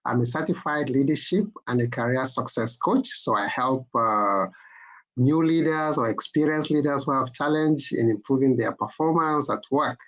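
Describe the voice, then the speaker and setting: A man with a medium-pitched voice introduces himself as a career coach on an online panel. He speaks in a measured way and pauses often. He is heard through a video call mic. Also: a bit bright.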